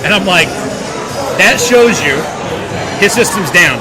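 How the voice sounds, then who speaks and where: A man's voice: high-pitched, animated, a little slow, rough recording. A man casually telling an anecdote in an informal way, acting out bits of dialogue as he goes. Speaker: podcast co-host telling an anecdote. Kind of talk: casual animated storytelling. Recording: loud crowd and music, public place. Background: loud crowd chatter and music.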